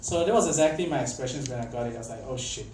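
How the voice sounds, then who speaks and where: A man's voice: mid-range voice, rapid-fire, a little mellow. A young man from Malaysia giving a casual conference talk, chatty and full of fillers, often asking the audience questions. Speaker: tech conference speaker. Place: conference hall. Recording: echoey hall, mic at a distance, faint background noise.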